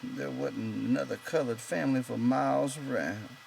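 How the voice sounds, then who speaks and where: A man's voice: mid-range voice, steady tone, moderate pace, creaky, darkish tone, slight background noise, pitched above the performer's own voice. A man voicing a proud, bitter, lonely stable hand in an audiobook, talking in an insistent, rambling colloquial way. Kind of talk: character voice in an audiobook reading.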